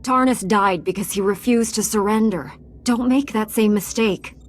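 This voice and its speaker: An actress playing a determined, heroic Jedi Knight in a video game, with a firm delivery. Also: female voice, mid-range voice, moderate pace, lightly acted. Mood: defiant. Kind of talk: scripted video game acting.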